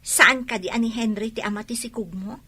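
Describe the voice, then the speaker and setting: A blunt, scolding young friend in a radio drama. Her high, bright voice cuts through with forceful frankness. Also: steady tone, brisk, slightly rough. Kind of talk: Ilocano radio drama acting.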